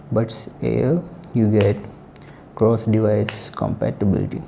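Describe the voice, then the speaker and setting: A man giving a casual, unscripted product review in halting, short phrases. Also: lowish voice, creaky, background noise.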